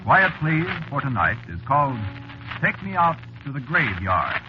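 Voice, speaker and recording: An American man announcing an old-time radio programme in a measured, formal manner. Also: medium pitch, big pitch swings. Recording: muffled vintage radio broadcast. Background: music.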